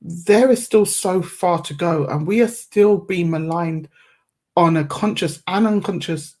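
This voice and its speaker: A woman who is a guest on a podcast, speaking in a reflective, measured way and choosing her words carefully. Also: fairly low pitch, dark tone. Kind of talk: reflective conversational interview.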